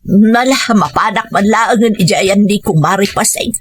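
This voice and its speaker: Woman acting husky, stubborn older mother. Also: medium pitch, moderate pace, lightly acted. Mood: dismissive. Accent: Ilocano. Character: stubborn, money-minded older mother, sharp-tongued. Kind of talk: Ilocano radio drama acting. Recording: music bed under the voice.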